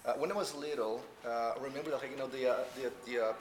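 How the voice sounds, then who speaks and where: A man's voice: medium pitch, steady tone, very fast. A middle-aged man with a Brazilian accent, a native Portuguese speaker, talking conversationally and telling stories on a panel. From southern Brazil. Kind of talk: informal panel discussion, storytelling. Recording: roomy echo, faint background noise.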